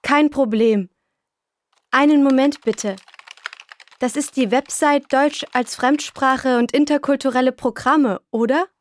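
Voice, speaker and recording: A woman playing a helpful young friend who fills in a form for a caller, speaking slowly and clearly in a scripted textbook dialogue. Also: high-pitched, a little hiss or hum. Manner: slow, clear and patient.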